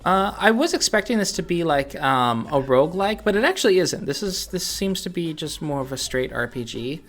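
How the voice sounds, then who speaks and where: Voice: medium pitch, expressive, moderate pace. A podcast co-host, chatting casually about video games and explaining things in a hesitant, thoughtful way. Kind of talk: casual video game discussion.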